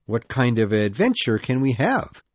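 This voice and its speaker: A woman's voice: a bass voice, big pitch swings, measured pace, a little mellow, dry recording, voice pushed down, heavily acted. A woman reading aloud, voicing an earnest young boy who asks serious, respectful questions.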